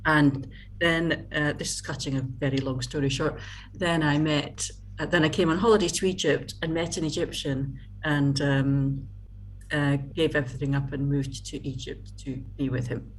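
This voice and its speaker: A middle-aged Scottish woman from Scotland, a guest in a casual video-call interview, talking haltingly with many fillers and restarts. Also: low voice, fairly even intonation, slight background noise, rough recording.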